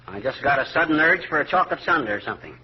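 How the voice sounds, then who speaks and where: An actor playing a blustery, grumbling, wisecracking middle-aged husband with a folksy manner in a 1940s radio comedy. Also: male voice, a little high, sing-song, very fast. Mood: weary, grumbling. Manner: folksy, exclaiming, exasperated wisecracks. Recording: dull, noisy vintage radio recording.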